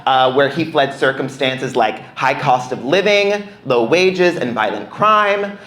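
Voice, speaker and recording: A man's voice: mid-range voice, animated, measured pace, a bit bright. A young American man performing a playful, self-deprecating stand-up routine in a conversational way on a club stage. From United States. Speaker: stand-up comedian. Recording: roomy live club sound.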